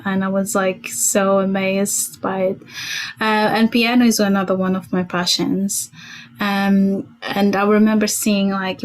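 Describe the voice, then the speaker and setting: Young woman, guest on a chat interview, mid pitch, kinda hesitant, stops to hunt for words. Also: low-quality recording. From Portugal. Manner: hesitant, searching for words, light laughs.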